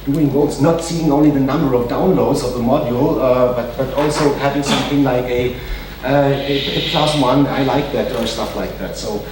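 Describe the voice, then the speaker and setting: A man's voice: mid-range voice, moderate pace. A man who is not a native English speaker gives a conference talk and takes audience questions, hesitant with many fillers and restarts. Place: large conference hall. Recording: echoey hall, distant mic, noisy.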